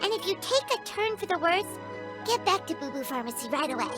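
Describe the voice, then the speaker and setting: Squeaky, childlike fairy companion. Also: female voice, big pitch swings, a little fast. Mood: cheeky. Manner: chirpy, childlike, talks of self in third person. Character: tiny chirpy childlike fairy companion, blunt and cheeky. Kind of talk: video game voice acting. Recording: studio voice over game music.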